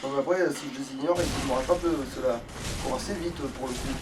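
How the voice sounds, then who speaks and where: A man's voice: medium pitch, fast. A man doing casual let's play commentary in a grumbling, sweary way. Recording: noisy, distant microphone.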